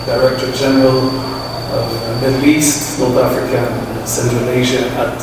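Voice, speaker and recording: A man giving a formal commemorative speech, measured and solemn as he reads out a long list of names. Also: medium pitch, level tone, heavy background noise. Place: large reverberant museum hall. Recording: echoey hall, voice from far off.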